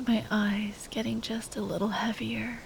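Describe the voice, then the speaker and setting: A woman playing a tender, grieving spellcaster lover in an ASMR roleplay, speaking in a breathy, halting whisper. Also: a little high, flat delivery, noisy background. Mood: tender, sad. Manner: halting, tender, sighing delivery.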